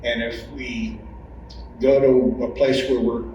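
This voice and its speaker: A man, a longtime church member, giving a reflective testimony in a measured way with pauses mid-sentence. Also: medium pitch, little pitch movement, darkish tone, dull audio. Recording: very echoey room, distant mic, noisy.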